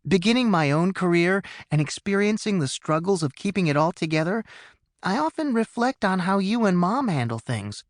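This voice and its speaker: A man's voice: sits high, very animated, moderate pace, dry recording, clean studio sound, voice pushed up. A male narrator voicing a stressed young adult daughter who talks in an earnest, worried way, in an audiobook. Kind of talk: audiobook character voice.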